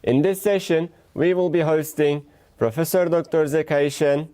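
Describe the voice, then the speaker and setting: Smooth, mellow-voiced male session chair. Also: a little high, steady tone, normal speed. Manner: formal, reads out names. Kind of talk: formal announcement.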